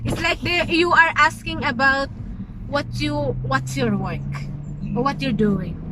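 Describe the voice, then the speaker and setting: High-voiced Filipino woman over loud road noise. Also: a little slow. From Philippines. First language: Tagalog. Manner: hesitant, explaining, repeating words. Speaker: vlogger learning and explaining Czech. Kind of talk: casual language tutorial chat. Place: moving vehicle on a road trip.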